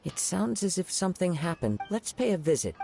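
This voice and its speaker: A man voicing a polite, formal young wandering samurai with a measured way of speaking. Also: high-pitched, very animated, creaky, dark tone, exaggerated voice. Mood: courteous. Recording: game audio with music.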